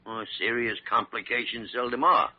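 An actor playing a crusty, nosy older senior doctor, grumbling and humming in old-time radio drama style. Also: male voice, mid-range voice, normal speed, close to an everyday voice. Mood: grave. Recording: dull old radio broadcast recording.

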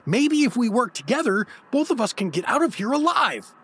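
A man's voice: very high voice, sing-song, moderate pace, fairly bright tone, breathy edge, heavily acted. A man playing a hapless, nervous, wry everyman hero in a comedic fantasy audio drama, with an incredulous conversational manner. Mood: nervous pleading.

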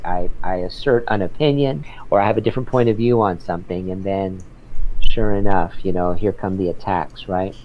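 A man's voice: on the low side, highs cut off, slight background noise. A man working as an interpreter, talking earnestly in a casual conversation and often ending sentences with "right?".

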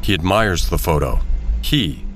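A man's voice: deep voice, lively intonation, a little slow, a bit bright. Guy narrating an audio drama, scripted read, music going behind.

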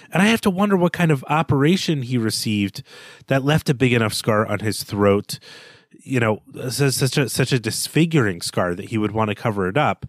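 Man, podcast co-host, casual book talk, hesitant with fillers, mid pitch, dry close sound. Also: dry recording. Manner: thoughtful, hesitant, with frequent fillers. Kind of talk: casual literary discussion.

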